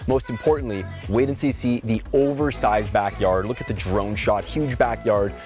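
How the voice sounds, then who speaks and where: A man with a slightly hoarse voice, a real estate agent talking quickly and energetically outdoors, switching between casual explanation and an upbeat sales pitch. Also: a little mellow, soft background music. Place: outdoors at a house.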